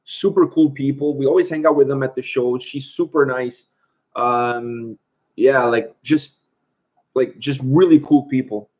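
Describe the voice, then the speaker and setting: A man's voice: mid-range voice, fairly even intonation, measured pace, darkish tone. A man from Portugal hosting a casual livestream, chatting and answering viewer questions. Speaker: host.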